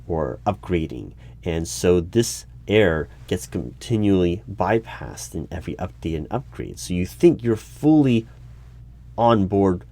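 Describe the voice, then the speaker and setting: A low-pitched hiring manager at a secret bureau, played by a man in an audio roleplay. He is officious and exasperated, arguing in halting stretches and rewording his points as he questions a candidate. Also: a little mellow. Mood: skeptical, pressing. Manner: halting, argumentative, officious, repeats and rephrases. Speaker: solo roleplay performer. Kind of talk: one-sided audio roleplay interview. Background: computer keyboard typing.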